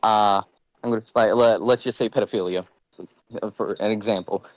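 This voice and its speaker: A man's voice: on the low side, steady tone, normal speed, dry recording, rough recording. A man chatting casually on a podcast in a blunt, joking way. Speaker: podcast speaker. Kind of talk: casual chat.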